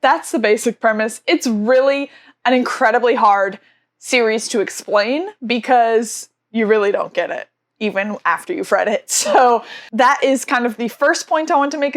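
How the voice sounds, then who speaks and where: A woman's voice: fairly high pitch, normal speed. A young woman reviewing books in a casual vlog, stuffy from allergies, gushing with enthusiasm and often saying "like". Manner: gushing, enthusiastic, frequent "like" fillers. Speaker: book vlogger.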